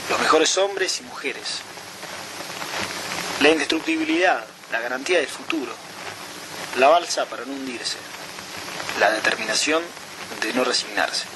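A man speaking reflectively in recollection, pausing between phrases. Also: mid-range voice. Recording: clear ambient noise.

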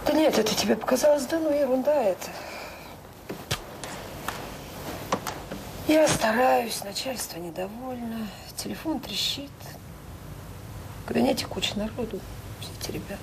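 An actress playing a loving, anxious middle-aged mother in a Soviet audio drama, speaking softly and intimately. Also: fairly quick, gravelly. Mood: tired, evasive. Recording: noisy old recording with low rumble.

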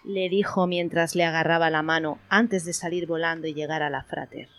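A woman's voice: deep voice, steady tone, moderate pace, a bit bright, no room sound. A woman with an accent from Spain, narrating an amateur radio play as a scripted story.

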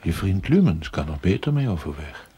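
Stern angel, super deep voice, dry and needling, old tv drama with faint hiss. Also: male voice, very animated, moderate pace, warm tone. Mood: sardonic. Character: stern, sardonic male angel, a priest's conscience. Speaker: actor in television drama. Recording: faintly noisy 1970s television soundtrack.

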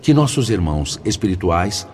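A man's voice: sits low, sing-song, moderate pace, darkish tone, a little hiss or hum. An actor, a man, playing a venerable, fatherly spirit mentor in a radio drama with solemn, oratorical delivery. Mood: earnest encouragement. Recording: music under the voice. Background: background music.

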